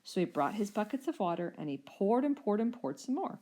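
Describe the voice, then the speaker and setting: A woman storyteller narrating a children's story in an expressive, scripted style. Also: medium pitch, fairly quick.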